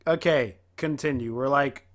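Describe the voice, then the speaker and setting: An excitable host giving casual, exclamatory, dramatic reaction commentary. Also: mid-range voice, normal speed.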